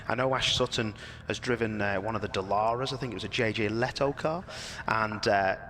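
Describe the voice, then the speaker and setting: Man, Liverpool accent, motorsport presenter, casual live chat, self-deprecating, many fillers, lowish voice, faint hiss. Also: a little fast, bright, forceful tone. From near Liverpool.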